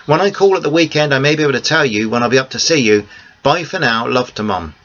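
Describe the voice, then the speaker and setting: A man's voice: medium pitch, animated, fast talker, a bit bright, close to an everyday voice. A man reading the part of a determined young woman, speaking firmly and earnestly to friends and family. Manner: firm, earnest pleading. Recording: dull lo-fi recording.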